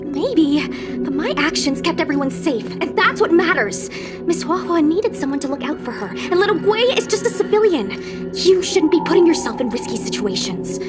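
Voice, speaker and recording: A woman's voice: sits high, sing-song, moderate pace, over loud music. A woman voicing an earnest, plucky, slightly bashful young female guard in an anime game cutscene.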